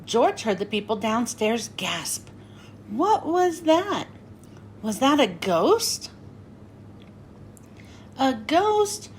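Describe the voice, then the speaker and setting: A woman reads a children's picture book aloud in a high, bright-pitched voice. She tells the story slowly with a sing-song, expressive manner, her pitch swooping up and down on each line. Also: a little hiss or hum.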